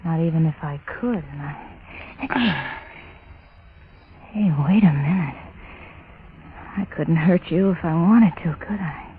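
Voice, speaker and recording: Coy radio noir femme fatale. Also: female voice, sits low, takes it slowly, pauses often. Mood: coy, seductive. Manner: coy, teasing. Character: seductive, calculating femme fatale. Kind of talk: 1940s radio noir acting. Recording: dull, noisy vintage radio recording.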